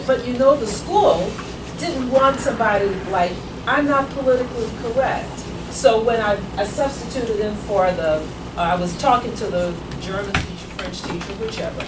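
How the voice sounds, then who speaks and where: Older woman speaking far off in an echoey room. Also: fairly high pitch. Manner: rambling, grows emphatic and forceful. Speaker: meeting attendee. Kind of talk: impassioned personal story at a meeting. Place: meeting room. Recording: echoey room, far from the mic, loud noise.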